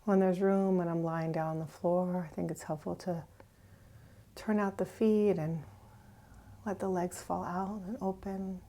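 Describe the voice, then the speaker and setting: Slow, mellow-voiced woman guiding a meditation. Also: lowish voice, fairly even intonation. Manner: gentle, pausing, often trailing into a breathy near-whisper. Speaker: meditation teacher. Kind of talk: guided meditation, unhurried and instructive.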